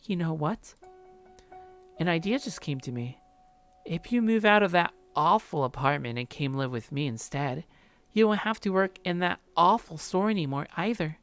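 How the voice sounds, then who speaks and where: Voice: mid-range voice, moderate pace, faint music behind, natural voice. A performer voicing a clingy, possessive young woman from a visual novel in a sweet, coaxing manner.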